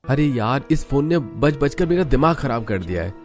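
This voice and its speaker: A man acts a lazy, huffy young schemer in a cartoon story. His voice is medium-pitched, whiny and cocky, with faint music underneath. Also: lively intonation, moderate pace, close to an everyday voice. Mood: annoyed. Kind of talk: Hindi cartoon story acting.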